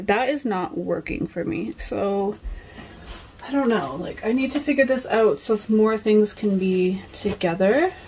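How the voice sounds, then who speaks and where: Female vlogger, 30s, average pitch, informal and self-questioning, thinks out loud; home room recording with noticeable noise. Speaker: nail vlogger. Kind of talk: casual chatty vlog. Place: home nail studio room. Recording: clear background noise with clicks.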